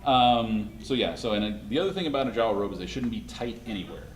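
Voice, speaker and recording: A man presenting a live costume-making demonstration at a convention panel, talking in a halting way with many restarts, his voice slightly hoarse. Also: on the low side, animated, a little hiss or hum. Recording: echoey room, mic far off.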